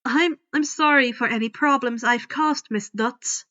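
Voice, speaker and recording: Lady doing a nervous polite kid hero in an audiobook, stammery, bright voice, slightly dull recording. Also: a little high, unhurried. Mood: guarded, confused. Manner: hesitant, stammering, formally polite. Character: anxious young boy hero, guarded and princely polite.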